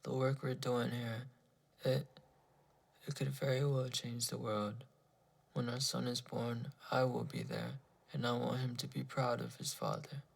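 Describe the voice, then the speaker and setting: Gravelly, earnest scientist husband played by a man. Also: mid-range voice, animated, unhurried, heavily acted. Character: earnest male scientist husband pleading and reassuring his wife. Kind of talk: dramatized horror narration dialogue.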